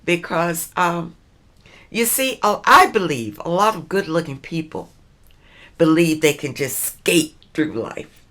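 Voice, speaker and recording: A woman's voice: on the low side, lively intonation, slow, fairly bright tone. A middle-aged woman hosting a relationship advice channel, speaking emphatically and repeating phrases for stress as she addresses her female viewers. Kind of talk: spoken advice monologue, reading viewer letters.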